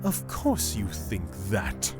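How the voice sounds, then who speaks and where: Clear music plays under a man acting a scornful mercenary dragon cleric in a fantasy audio drama. His voice sits on the low side, dry and condescending. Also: wildly swooping pitch, takes it slowly, exaggerated voice.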